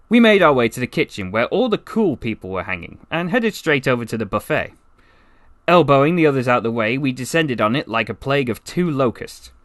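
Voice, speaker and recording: Male actor as wry, lovestruck half-alien storyteller: bright, very animated, gushing asides, comic audiobook read, close dry sound. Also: mid-range voice, dry recording. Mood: smitten, enthusiastic. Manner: conversational, rambling asides, gushing. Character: chatty, besotted, wry half-alien man from Dorset. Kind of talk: first-person comic story narration, voice acting practice.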